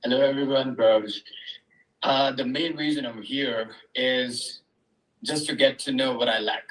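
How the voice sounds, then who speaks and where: A man's voice: medium pitch, fairly even intonation, measured pace, roomy sound, distant mic, low-quality recording. A man in an online workshop casually explaining what he hopes to learn. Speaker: workshop participant.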